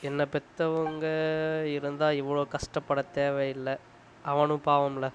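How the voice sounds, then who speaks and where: A man's voice: on the high side, little pitch movement, racing, highs cut off, a little hiss or hum. A man with a Tamil accent, chatting casually with viewers as a live game streamer.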